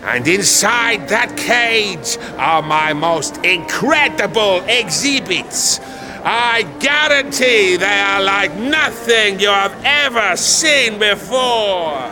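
A man's voice: lively intonation, takes it slowly, full character voice. An actor playing a boastful male freak-show ringmaster who barks out his acts in a grand, theatrical manner. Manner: booming, showy barker. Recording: loud crowd and music behind.

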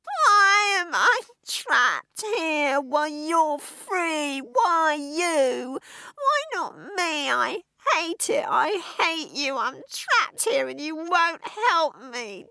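A woman's voice: sits high, very animated, extremely slow, vocal fry, sharp tone, dry recording, clean studio sound, voice pushed up, exaggerated voice. A woman voicing a bitter teenage girl trapped inside someone's head, who whines, sneers and breaks into sobs. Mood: self-pitying. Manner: whining, sneering, sobbing outbursts. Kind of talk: audiobook character voice.

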